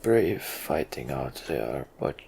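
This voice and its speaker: A man voicing a wounded, proud king from a fantasy game in a halting, labored delivery. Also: extremely low pitch, sing-song, gravelly, a little mellow, slight background noise, pitched below the performer's own voice, heavily acted. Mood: pained, grave.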